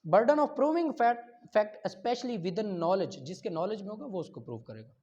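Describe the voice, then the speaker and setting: Male law lecturer, Pakistani accent, high voice, didactic delivery that checks for understanding, on a dull lo-fi recording. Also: sing-song, measured pace, darkish tone. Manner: didactic, checks understanding often, switches between Urdu and English. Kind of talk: classroom lecture, reading statutes aloud and explaining them.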